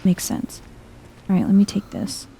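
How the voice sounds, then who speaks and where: A soft-spoken woman giving chill, hushed ASMR commentary while thinking aloud through a video game. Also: medium pitch, big pitch swings, moderate pace, gravelly, a little hiss or hum, low-quality recording.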